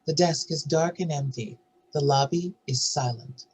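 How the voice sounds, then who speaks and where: A woman with a husky voice reading screenplay stage directions as a narrator. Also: low voice, normal speed, darkish tone.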